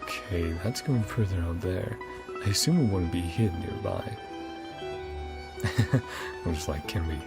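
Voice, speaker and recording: A man gives casual commentary over a video game, thinking out loud as he plays. His voice is on the low side and swings widely in pitch, with creaky edges. Game music plays behind him. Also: animated, a little slow, pauses often. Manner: chuckles often, thinks aloud. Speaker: game streamer. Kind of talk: casual let's play commentary, reading game text aloud.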